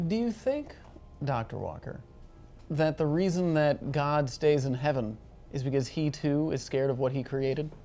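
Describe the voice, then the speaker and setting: A woman's voice: sits low, lively intonation, measured pace, dark tone. A woman playing a tabletop role-playing game, moving between speaking in character and bantering. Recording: faint ambient noise behind the voice. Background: faint ambient noise and ambience.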